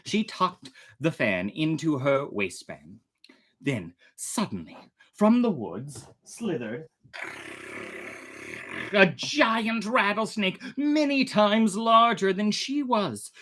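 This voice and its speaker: Animated American storyteller with swooping pitch. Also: slow, a little hiss or hum. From United States, Oklahoma. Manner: dramatic, expressive when narrating, chatty and self-deprecating in asides. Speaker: storytelling host. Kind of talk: animated storytime reading with casual fun-fact asides.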